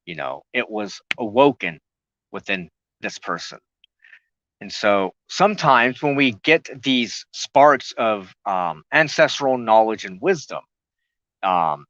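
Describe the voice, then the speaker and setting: A man hosting a spiritual talk show, explaining loosely and haltingly, often pausing mid-sentence to search for words. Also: on the low side, pushed and bright, no room sound, muffled recording.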